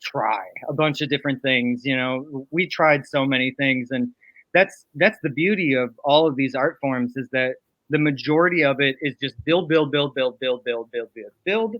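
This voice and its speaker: A man's voice: medium pitch, moderate pace, no echo. A man talking casually as a panel guest, musing aloud and repeating phrases for emphasis, on a slightly dull recording. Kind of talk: casual discussion of craft.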